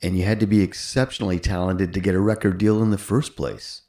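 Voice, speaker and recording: A man's voice: low voice, fairly even intonation, a little fast, warm tone. An older man hosting a conversational interview. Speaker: podcast host interviewer.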